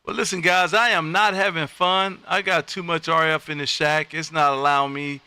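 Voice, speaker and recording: A man hosting casual amateur radio chat, with a loud, folksy, animated patter. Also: on the high side, normal speed, clear, resonant tone, no room sound. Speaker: amateur radio operator and livestream host. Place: home radio room.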